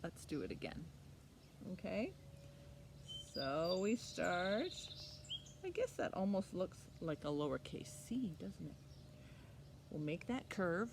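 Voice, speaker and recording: A woman's voice: fairly low pitch, background noise. A woman presenting a children's storytime lesson, encouraging young listeners with simple step-by-step instructions.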